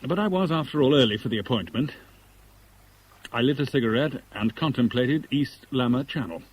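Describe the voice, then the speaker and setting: A man's voice: medium pitch, animated, moderate pace, natural voice. An actor playing a bitter, lovelorn man in a 1970s radio drama, sardonic and clipped. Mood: reflective narration. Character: bitter, lovelorn man caught in a love rivalry. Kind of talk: 1970s British radio drama acting with narration. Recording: noisy old radio broadcast recording.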